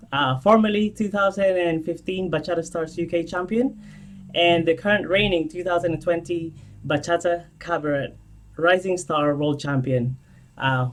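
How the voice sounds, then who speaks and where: A man hosting an interview in a conversational style, asking questions in a halting way. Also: on the high side, a bit bright. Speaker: interview host.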